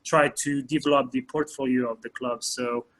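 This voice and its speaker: A man in his thirties from France, a soccer coach answering interview questions in a hesitant way with many pauses and fillers. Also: mid-range voice, fairly even intonation, fairly bright tone, poor audio.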